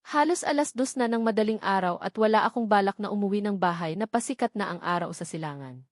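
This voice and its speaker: A woman narrates a novel in the first person, working from a script. Her voice sits at a middle pitch, and the sound is dry with little room in it. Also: quick pace, no echo. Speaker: audiobook narrator.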